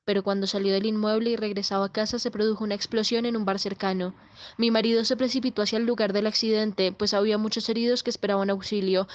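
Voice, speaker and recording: Woman acting a fast, even-toned, secretive lady. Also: medium pitch, muffled recording, close to an everyday voice. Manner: formal, matter-of-fact recounting. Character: secretive Vietnamese lady of the house in Paris. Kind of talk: radio drama narrative monologue.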